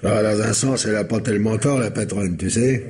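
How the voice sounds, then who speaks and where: Casting: man as grumbling household gossip, lowish mellow voice, colloquial, radio play, faint noise. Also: brisk, faint music behind, natural voice. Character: gossipy, grumbling, easygoing male household servant. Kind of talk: 1950s French radio drama acting.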